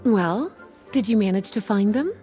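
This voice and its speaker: A woman acts a young magic librarian from a video game, in a mellow, dark-toned voice with plenty of melodic movement. She is composed and knowing, with a gentle teasing streak, and music plays behind her. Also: mid-range voice, expressive, normal speed, lightly acted. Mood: amused. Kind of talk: video game character acting.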